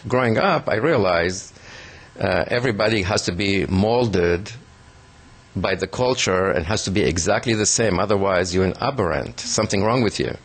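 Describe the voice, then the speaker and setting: A man from Israel being interviewed, talking slowly with many pauses and fillers. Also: low-pitched, lively intonation, husky. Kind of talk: reflective recounting.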